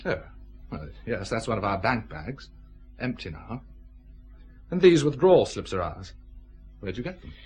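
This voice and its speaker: An actor playing a genial, proud boss of a small firm, chatty and expansive in an audio drama. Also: male voice, on the low side, very animated, moderate pace, pauses often, darkish tone, husky, exaggerated voice. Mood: thoughtful. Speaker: actor in a radio detective drama.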